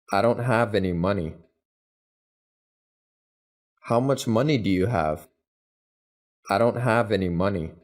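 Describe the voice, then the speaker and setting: A man reading English practice questions and answers, each said twice in an even, deliberate way. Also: fairly low pitch. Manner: even, deliberate, carefully spaced delivery. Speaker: English teacher. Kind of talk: slow scripted phrase drills, each said twice.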